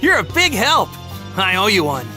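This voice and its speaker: A woman's voice: medium pitch, very animated, normal speed, over music, lightly acted. A woman playing a cheerful, guileless young male martial arts hero in an anime game, boyish, upbeat and plain-spoken. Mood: cheerful, casual. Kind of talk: anime video game voice acting.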